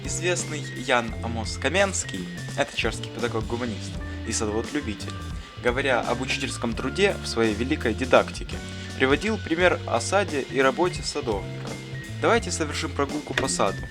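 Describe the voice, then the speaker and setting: Actor as wise cartoon schoolteacher, male, kindly and didactic, measured storytelling, mid-range pitch, music behind. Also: animated, lightly acted. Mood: kindly, reflective. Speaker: teacher. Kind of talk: scripted storytelling in an educational cartoon.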